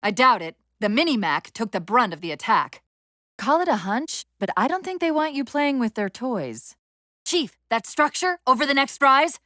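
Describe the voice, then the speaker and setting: Bright, animated female AI companion. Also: a little high, no echo. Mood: wry, dry. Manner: crisp tactical commands with dry wit. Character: female military AI companion, urgent, brisk, sardonic. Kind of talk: video game voice acting.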